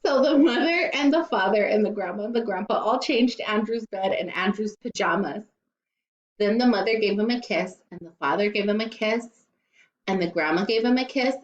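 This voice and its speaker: Female primary teacher for children's read-aloud: expressive, mid-pitched, playful asides, echoey slightly dull recording. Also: normal speed.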